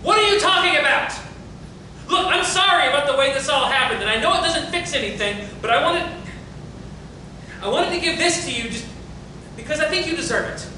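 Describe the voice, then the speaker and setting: A man playing an anxious, apologetic, well-meaning friend in a stage tragedy reading, urgent and halting. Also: lively intonation, pushed and bright, heavily acted. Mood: apologetic, pleading. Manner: urgent, halting, repeats himself. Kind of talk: theatrical table reading of Southern Gothic tragedy. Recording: very echoey, distant room with rumbling noise.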